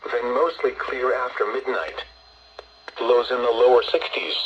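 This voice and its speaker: A man's voice: medium pitch, fairly even intonation, measured pace, dull audio, slight background noise. A synthetic American man's voice reading a scripted weather bulletin formally, played back through a radio speaker. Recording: roomy, distant playback from radio speaker.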